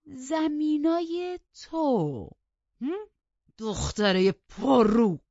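Woman acting out a furious, domineering man, shouting. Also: sits high, lively intonation, no echo, muffled recording, voice pushed up. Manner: snarling, shouting, drawn-out threats. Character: furious, menacing, domineering male master of the house.

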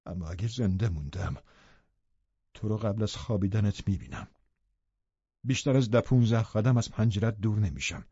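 Storybook role: man playing passionate brooding lover, low-volume intensity, breathy husky delivery, echoey room sound. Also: long pauses, lo-fi sound. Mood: urgent pleading. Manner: hushed, intense, imploring. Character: brooding, passionate male lover in a forbidden romance.

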